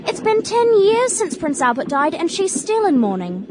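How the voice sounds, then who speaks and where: Casting: artless Victorian country girl of nineteen, very high lively voice, polite and eager, radio drama. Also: normal speed, noisy background. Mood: artless, curious. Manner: polite, earnest, questioning. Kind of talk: period radio drama acting.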